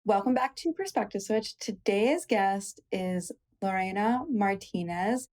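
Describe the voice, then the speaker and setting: A woman's voice: medium pitch, unhurried, darkish tone. A woman hosting a podcast, upbeat and encouraging with her guest. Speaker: podcast host. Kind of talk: casual podcast interview.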